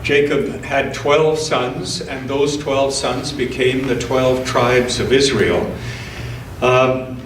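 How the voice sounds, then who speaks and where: A man with a medium-pitched voice reads to a church congregation. He takes his time, speaking slowly and deliberately. The sound is roomy and slightly distant. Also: fairly even intonation, slight background noise. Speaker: scripture reader at a church service. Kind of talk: church scripture reading with informal introduction. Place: indoors in a church.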